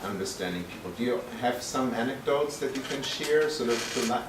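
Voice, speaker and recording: A man's voice: mid-range voice, lively intonation, fairly quick. A man moderating a panel, asking long, informal, discursive questions. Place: college panel event room. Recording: echoey room, distant mic, clear background noise.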